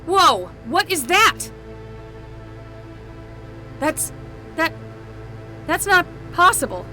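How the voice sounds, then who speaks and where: A woman playing a young, excitable summer nanny with a casual, rambling way of talking in a fiction podcast. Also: very high voice, very slow, soft background music, full character voice. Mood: alarmed. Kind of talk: scripted fiction podcast acting.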